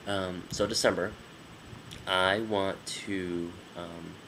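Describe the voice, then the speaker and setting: A man's voice: deep voice, steady tone, slow pace, background noise. A man in his twenties, nasal from a sinus infection, giving a rambling casual vlog update full of ums and restarts. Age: 20s. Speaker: vlogger.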